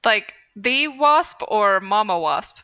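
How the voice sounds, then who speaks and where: A woman's voice: mid-range voice, expressive, slow pace, bright, forceful tone, clean tone. A woman co-hosting a casual movie review chat with informal, teasing banter. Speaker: podcast co-host.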